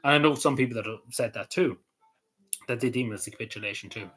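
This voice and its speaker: A man's voice: mid-range voice, fast talker, a bit bright. A man rambling casually on camera, hesitant, with many fillers, restarts and small chuckles. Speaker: vlogger.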